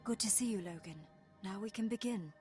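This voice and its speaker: A woman playing a grave, composed leader of an ancient plant folk in a video game cutscene, earnest and measured. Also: mid-range voice, lightly acted. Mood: solemn, earnest. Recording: loud music behind.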